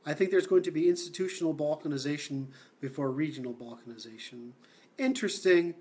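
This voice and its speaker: A man from Seattle hosting a livestream, answering comments in a halting, blunt way with many fillers and restarts. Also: on the high side, darkish tone. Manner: blunt, opinionated. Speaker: livestream host.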